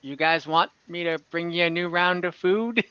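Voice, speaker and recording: A man's voice: on the high side, moderate pace, smooth, dry recording, highs cut off, natural voice. A man voicing an easygoing, scatterbrained tavern bartender in a tabletop game, speaking hesitantly and trailing off. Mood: hesitant, casual.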